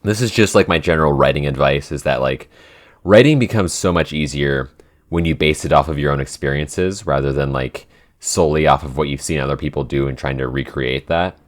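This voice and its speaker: A man with an extremely low-pitched voice speaks in a casual, conversational Q&A style. He explains things at length, rambling a little and restarting his thoughts mid-sentence. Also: fairly quick. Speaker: podcast co-creator and writer. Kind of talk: casual Q&A chat.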